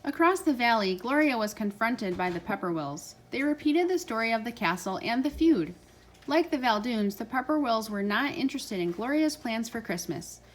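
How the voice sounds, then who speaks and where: Mom reading a picture book out loud to her kid, voice on the high side. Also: expressive, moderate pace. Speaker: parent reading with a child. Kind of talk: children's storybook read-aloud.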